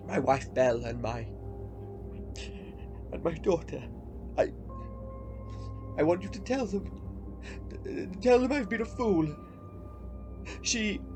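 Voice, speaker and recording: An actor playing a sad, repentant old grouch in a radio play, speaking haltingly and with open emotion. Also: male voice, high-pitched, a little mellow.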